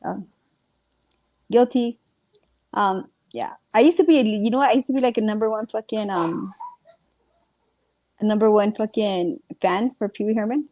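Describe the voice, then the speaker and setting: A woman's voice: mid-range voice, normal speed, pauses often, dark tone, no echo. A woman co-hosting a casual stream chat, laughing often and swearing freely. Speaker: stream co-host.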